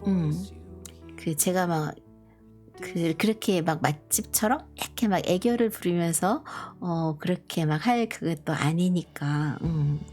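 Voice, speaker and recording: A middle-aged woman from Korea who grew up partly in Busan, chatting slowly and gently to viewers with many pauses and fillers. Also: fairly low pitch. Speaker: live stream host. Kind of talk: casual live chat to viewers. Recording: soft background music.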